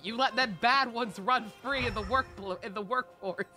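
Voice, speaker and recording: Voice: medium pitch, normal speed, sharp tone. A video game streamer giving casual, joking gameplay commentary. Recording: game music behind the voice. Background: video game music.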